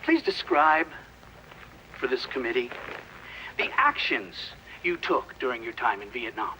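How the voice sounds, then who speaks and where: An actor playing a stern government committee questioner in a video game, formal, deliberate and official. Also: male voice, mid-range voice, huge pitch swings, creaky, sharp tone, a little hiss or hum, full character voice, low-quality recording. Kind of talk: video game hearing-room acting.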